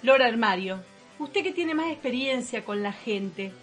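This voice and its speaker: An actress playing a disdainful, affected high-society lady in a comic radio play, prim and melodramatic. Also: expressive, measured pace, faint music behind. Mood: melodramatic pleading.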